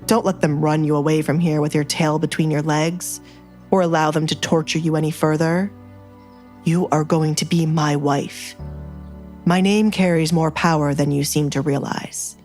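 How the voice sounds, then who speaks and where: Woman as stern male duke, lowered voice, firm, deliberate, unyielding, audiobook romance, soft music. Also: fairly even intonation, lightly acted.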